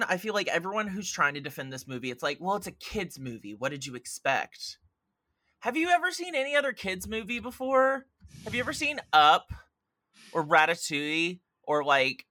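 Bright, higher-pitched man talking movies emphatically. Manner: emphatic, mildly profane, uses mimicked quotes. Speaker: podcast commentator. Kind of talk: casual opinionated film discussion.

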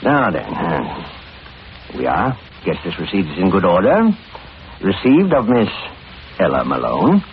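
Swooping, fussy, sinister genial employer, old radio. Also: male voice, deep voice, huge pitch swings, a little slow, pauses often, full character voice. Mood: fussy, affable. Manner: dithering, repeats himself, unctuous chuckles. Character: fussy, falsely genial middle-aged male employer with sinister intent. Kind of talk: 1940s radio horror acting. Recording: noisy, muffled old radio recording.

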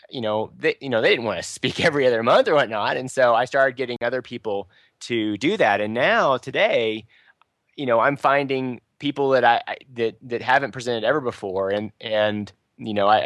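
A man's voice: mid-range voice, normal speed, no echo. Chatty man with a dry close sound. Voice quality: fairly loud voice. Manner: loose, chatty, many restarts and fillers. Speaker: local Ruby user group organizer. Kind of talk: casual podcast panel talk.

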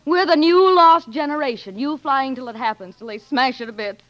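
A woman's voice: high voice, fairly quick, fairly bright tone. An actress playing an ardent American career woman in love, with emotional, theatrical delivery in a 1940s radio drama. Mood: agitated, anguished. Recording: muffled 1940s radio broadcast, orchestral music.

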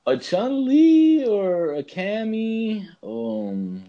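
A man's voice: sits high, sing-song, very slow, muffled recording. A man co-hosting a podcast, chatting casually in a slangy, blunt way and thinking aloud as he goes. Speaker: podcast co-host. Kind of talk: casual banter, ranting movie review.

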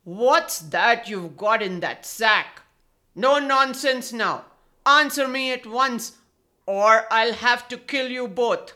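A woman voicing a sly, threatening male fox in a children's story, loud and pleading when scared. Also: a little high, slow pace.

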